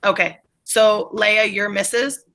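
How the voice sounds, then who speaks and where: A woman's voice: medium pitch, flat delivery, slow pace, sharp tone. A woman working as a drama teacher, prompting, correcting and explaining cues during a script read-through. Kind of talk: classroom coaching mixed with reading a play script aloud.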